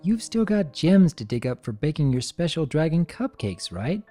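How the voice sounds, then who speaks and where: A storyteller voicing a caring female pony, gentle, teasing and motherly, with a coaxing sing-song manner. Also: medium pitch, very animated, normal speed, soft background music. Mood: teasing, cheerful.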